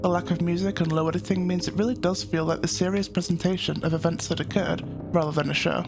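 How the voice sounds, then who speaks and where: A man narrating a scripted analytical video essay as its host, in a measured, explanatory manner. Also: sits high, level tone, vocal fry, music in the background.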